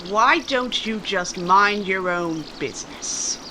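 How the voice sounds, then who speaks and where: A woman playing a proud, spirited young daughter in 1940s radio romance style, polite but crisp and pointed. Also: medium pitch, slow pace, bright, forceful tone, slight background noise. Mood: indignant.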